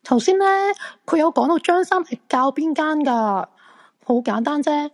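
An actress playing an eager, self-assured crystal fortune-teller thrilled by ghosts, in a Hong Kong Cantonese radio drama. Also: female voice, high voice, normal speed. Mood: excited, eager. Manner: eager, friendly, animated.

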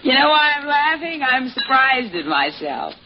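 Scheming radio-drama mistress, swooping intonation. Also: female voice, sits high, very animated, moderate pace. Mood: seductive, probing. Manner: insistent, domineering, coolly manipulative. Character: scheming, hard-boiled mistress pressuring her lover into crime. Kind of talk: old-time radio crime drama acting. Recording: dull, noisy vintage radio recording.

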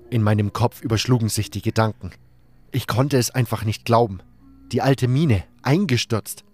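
A man's voice: mid-range voice, a little mellow. A man reading scripted first-person audiobook narration as a dramatic storyteller.

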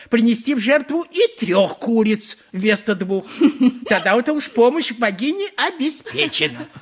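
Pompous, husky old-school high priest. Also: male voice, fairly bright tone, heavily acted. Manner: theatrical, oratorical, unctuous. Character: pompous, lecherous male high priest, smug and scheming. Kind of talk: 1980s Soviet radio play acting.

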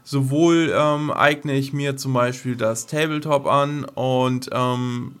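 A man's voice: medium pitch, fairly even intonation, takes it slowly, fairly bright tone, clear, resonant tone. A man co-hosting a casual podcast in a colloquial, joking, somewhat hesitant way. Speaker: podcast co-host.

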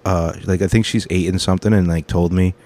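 A man's voice: deep voice, steady tone, fairly quick, dark tone. A man in his thirties, a comedian hosting his own podcast, rambling through his week in a casual, profane, self-correcting monologue.

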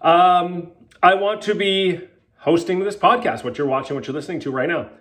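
A man talking on his own podcast, speaking emphatically and candidly, with fillers and the odd curse. He sounds a little sniffly from a cold, and his voice sits somewhat high. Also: normal speed, fairly bright tone. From Canada. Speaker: podcast host and health coach. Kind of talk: casual solo podcast monologue.

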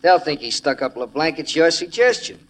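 A man's voice: a little high, sing-song, brisk, fairly bright tone. An actor playing a scheming, cocky gangster with slangy tough-guy talk in a 1940s radio crime drama. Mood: smug, menacing. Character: scheming, cocky male gangster holdup killer. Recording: noisy old radio broadcast recording.

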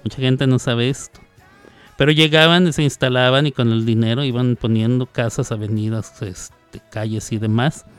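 A man's voice: medium pitch, fairly even intonation, moderate pace, clear, resonant tone, faint music behind. A man from Mexico hosting a casual live radio show, chatty and playful, often laughing between remarks, with a northern Mexican accent. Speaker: radio host.

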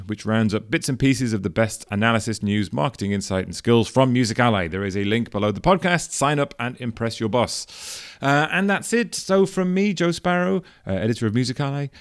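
A man hosting a podcast conversationally, with halting phrasing as he gathers his thoughts. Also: medium pitch. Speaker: podcast host, editor.

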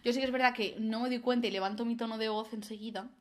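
A young woman with an accent from Spain chats casually as a podcast co-host, animated, outspoken and full of slang. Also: a little high, fast. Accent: Spanish (Spain). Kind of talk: casual chat.

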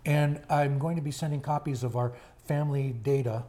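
Older grandfather, hoarse and mellow, unhurried and halting in relaxed conversation. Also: medium pitch. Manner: slow, halting, many fillers. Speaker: grandfather being interviewed. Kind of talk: casual family interview.

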